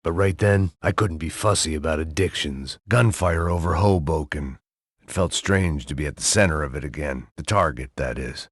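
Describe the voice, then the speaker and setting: An actor playing a weary, cynical middle-aged ex-cop who narrates in a deadpan, bitter, self-mocking way. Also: male voice, very deep voice, moderate pace, breathy edge, no room sound, clean studio sound. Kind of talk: hard-boiled video game narration.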